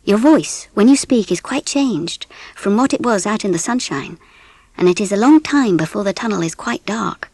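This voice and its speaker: A woman's voice: mid-range voice, animated, moderate pace, darkish tone, husky, no room sound. A woman with an English accent narrating a children's storybook as a scripted audiobook.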